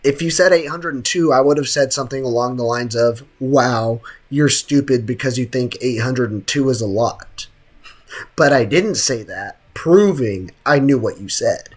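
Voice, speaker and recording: Casting: young male game streamer, medium pitch, casual chat, joking and full of slang. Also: normal speed. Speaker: livestreamer.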